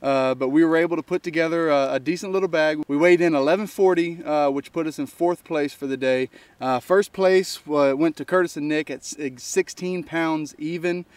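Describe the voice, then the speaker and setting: An easygoing man, recapping a fishing trip in relaxed chat. His voice sits a bit high and sounds close and dry, with little room around it. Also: moderate pace, dry recording. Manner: easygoing, talks through results and thank-yous. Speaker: fishing channel co-host.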